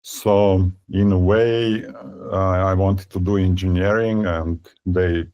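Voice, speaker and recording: A man in his fifties from Serbia, speaking as a guest in an interview. He talks haltingly, with many fillers and repeated words. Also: sits low, fairly even intonation, clear, resonant tone, muffled recording. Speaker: tech company founder, guest. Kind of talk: conversational interview.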